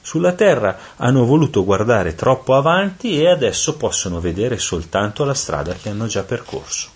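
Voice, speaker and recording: A wise, protective spirit guide from a storybook, voiced by a male narrator. He is husky and firm, chiding and explaining with lively pitch. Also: moderate pace. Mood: stern. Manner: firm, instructive.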